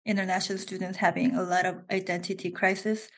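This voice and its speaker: A woman from South Korea with a Korean accent, talking as an interview guest in a halting way, often restarting and laughing. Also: fairly low pitch, little pitch movement. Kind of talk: casual interview.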